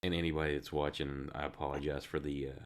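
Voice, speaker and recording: A male game master at a tabletop table on a stream, sick and low on energy. His voice sits very deep, worn down by illness, and he talks in a tired way. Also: level tone, fast talker. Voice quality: deepened by illness. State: sick, subdued.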